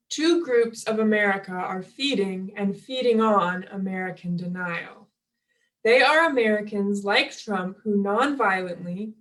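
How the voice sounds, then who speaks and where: A woman reads a written essay aloud. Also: mid-range voice. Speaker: reader at a table reading. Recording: distant from the microphone.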